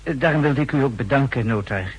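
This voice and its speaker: An actor playing a polite, earnest young student in a 1960s radio drama, courteous and quick to comply. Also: male voice, mid-range voice, breathy edge, lightly acted, poor audio. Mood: polite, pressing. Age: young adult. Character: eager, polite young male student running an errand. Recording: fuzzy old radio recording.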